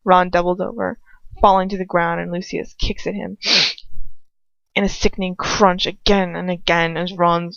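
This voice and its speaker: A woman reading a story aloud in the first person with expressive, emotive delivery. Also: fairly low pitch, moderate pace, gravelly, dry recording. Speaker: amateur audiobook reader. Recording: dull, lo-fi close recording.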